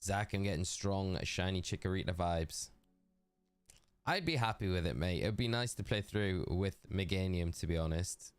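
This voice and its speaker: A man's voice: sits low, lively intonation, moderate pace, smooth, no echo, faint music behind. A man with a Geordie accent chatting casually and rambling on a live game stream, talking to his chat.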